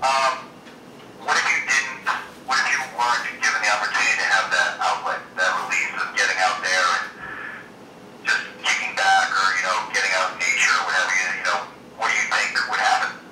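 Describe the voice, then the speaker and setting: Male interviewer, conversational questioning, very bright hard-edged low voice, distant pickup with faint noise. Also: fairly even intonation, brisk. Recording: echoey, distant, faintly noisy.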